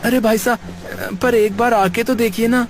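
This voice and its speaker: A man's voice: very high-pitched, fairly even intonation, measured pace. A man playing an eager, respectful teenage boy from a village tea stall.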